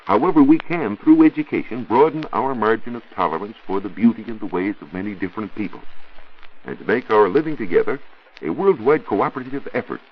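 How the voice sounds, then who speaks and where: A man's voice: on the low side, lively intonation, fairly quick, slight background noise. An American man working as a science reporter, explaining in a formal, didactic way in a scripted 1940s educational radio talk. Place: radio studio. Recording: muffled 1940s radio recording.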